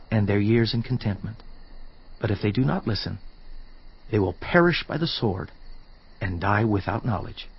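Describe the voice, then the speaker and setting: Fervent biblical speaker, earnest, declamatory, solemn, emphatic, husky edge, dramatized scripture, slightly muffled audio. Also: male voice, medium pitch. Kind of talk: dramatized scripture reading, oratorical.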